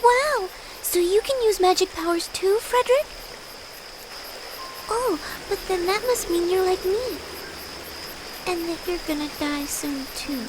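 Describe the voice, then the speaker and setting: Slow-speaking, very high-voiced little girl. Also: over music, rough recording. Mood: amazed, wistful. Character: gentle, curious young girl with magic powers, facing death. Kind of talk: video game cutscene voice acting.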